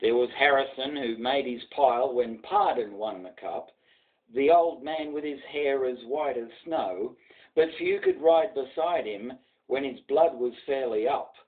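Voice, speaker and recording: Lively Australian man reciting, muffled sound. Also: medium pitch, measured pace. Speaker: poetry reader. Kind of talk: dramatic poetry recitation.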